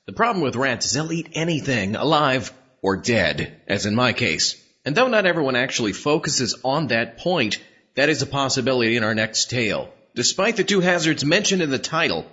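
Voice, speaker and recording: A man playing a wry, theatrical horror-podcast host with a raspy voice, reading scripted comic introductions. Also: medium pitch, sing-song, moderate pace, pushed and bright, lo-fi sound, heavily acted.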